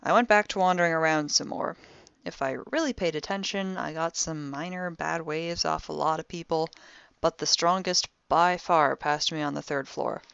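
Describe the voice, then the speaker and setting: A woman's voice: sits low, normal speed, gravelly, a bit bright, clear, resonant tone, no echo, muffled recording. A woman playing a wry, impulsive young amateur detective, recording a spoken log in an audio drama with sardonic, theatrically dry conversational delivery. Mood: self-important, dry.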